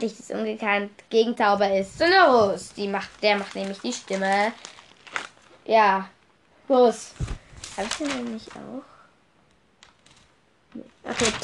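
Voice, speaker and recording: A woman's voice: on the high side, a little hiss or hum. A young woman with a cold, chatting casually on her solo podcast and rambling through her notes, spelling words out letter by letter. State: stuffy nose from a cold. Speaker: podcast host.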